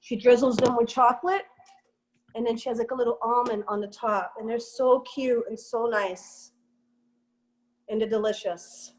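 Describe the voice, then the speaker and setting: A woman's voice: fairly high pitch, steady tone, moderate pace, poor audio. A woman chatting casually and gushing with praise about the food she has tasted. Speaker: co-presenter and taster. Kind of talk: casual enthusiastic chat.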